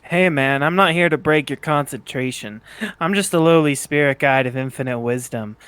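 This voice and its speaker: A man voicing a laid-back, deadpan young bird-like spirit guide in an amateur fan fiction reading. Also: mid-range voice, moderate pace, clear, resonant tone, no echo, lightly acted. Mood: smug, deadpan.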